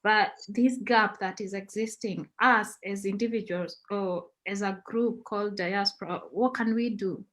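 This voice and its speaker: A woman from Kenya with a mid-pitched voice speaking on a discussion panel. She talks calmly and thoughtfully, bringing the debate back to its main question. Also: measured pace, hardly any pauses. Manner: calm, reflective, refocusing the debate. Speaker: diaspora panelist.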